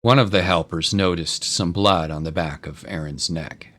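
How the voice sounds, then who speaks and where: A man narrating a scripted dramatic story in a solemn, deliberate way. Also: low voice, a bit bright. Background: clear music.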